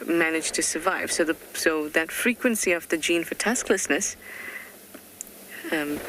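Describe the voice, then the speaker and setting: A safari guide explains things to viewers during a live drive, keeping the voice low and sometimes whispering. The pitch is medium. A faint hiss runs behind the voice. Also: rough recording. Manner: hushed, drops to a whisper near animals. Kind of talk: live game drive commentary. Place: outdoors in the bush.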